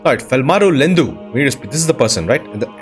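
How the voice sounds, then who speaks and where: A man's voice: medium pitch, sing-song, fast, soft background music. A man giving casual game commentary, musing aloud and exclaiming at the sights. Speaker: let's player and show host.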